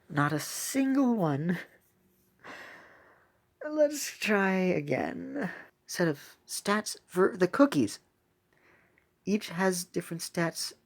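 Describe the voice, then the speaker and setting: A woman's voice: lowish voice, gravelly, a little mellow. A woman giving casual, chatty gameplay commentary with a self-deprecating manner as she hosts a gaming video.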